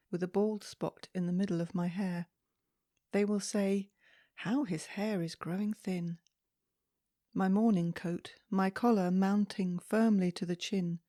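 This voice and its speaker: A woman reading poetry aloud in a soft, calming, measured way meant for relaxation, with deliberate phrasing and pauses. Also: mid-range voice, no room sound, clean studio sound. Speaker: poetry reader.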